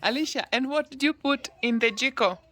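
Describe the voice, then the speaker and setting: A woman's voice: sits high, fairly quick, bright, forceful tone. A woman chatting casually as a mother filming a family vlog, prompting and encouraging a child with questions and short praise. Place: outdoors at a village farm.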